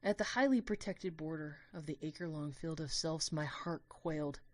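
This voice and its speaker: A woman's voice: low-pitched, moderate pace, dry recording. A woman reading scripted first-person story narration as the narrator of an audio drama.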